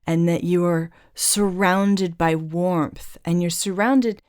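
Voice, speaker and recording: A woman hosting an interview in a low, resonant voice. She speaks earnestly and thoughtfully, stringing her ideas together in long, flowing runs. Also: steady tone, slow, darkish tone, smooth. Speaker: podcast host. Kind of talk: reflective conversational interview.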